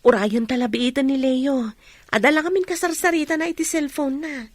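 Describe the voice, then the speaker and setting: High-voiced young village woman, played by a woman. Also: steady tone, a little fast, no echo, natural voice. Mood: friendly. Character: young village woman, talkative friend, Yani. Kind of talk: Ilocano radio drama acting.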